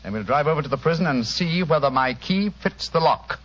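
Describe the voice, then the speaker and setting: An actor playing an incisive, dryly witty British gentleman detective in a theatrical, clipped 1940s radio drama style. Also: fairly high pitch, lively intonation, a little fast, background noise, lightly acted. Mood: brisk, dryly amused. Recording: muffled old radio recording.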